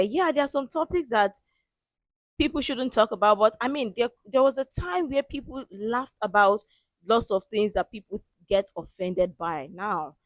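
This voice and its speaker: A woman's voice: mid-range voice, no room sound. Woman hosting casual reactions, dry close sound. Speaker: reaction video host.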